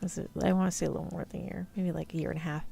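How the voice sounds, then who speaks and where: A woman's voice: fairly low pitch, flat delivery, very fast, creaky, dark tone, faint music behind. A woman hosting a livestream, chatting casually and informally in a rambling way with her viewers. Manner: informal, mild swearing, rambling. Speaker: VTuber livestream host.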